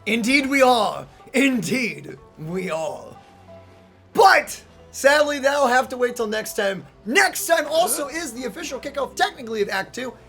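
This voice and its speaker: Animated let's play host, mid-range voice. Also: high voice, a little slow, music in the background. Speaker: gaming channel host. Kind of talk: casual let's play commentary.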